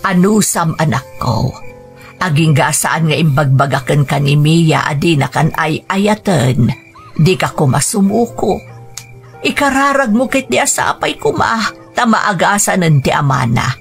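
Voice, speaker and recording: Caring worried mom, middle aged, ilocano accent, radio drama, bit of music behind. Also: female voice, deep voice, animated, unhurried, creaky, exaggerated voice. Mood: consoling, tender. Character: caring, worried mother gently advising her grown son. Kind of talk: Filipino radio drama acting. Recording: music under the voice.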